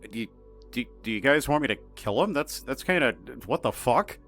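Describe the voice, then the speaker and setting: A cocky, easygoing anomalous being from an audio drama, acted by a man. He talks casually and flippantly at a middle pitch, in loose slang. Faint music sits behind the voice. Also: animated, a little fast, a bit bright. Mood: relaxed, probing.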